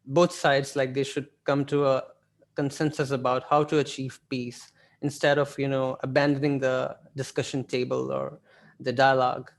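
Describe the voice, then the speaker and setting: A man from Pakistan, Urdu his first tongue, interviews a guest over a video call. He speaks in a level, even tone at a middle pitch, soft-spoken and agreeable, sprinkling in "like." His phrasing stays measured and polite. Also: creaky. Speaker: blogger and interviewer.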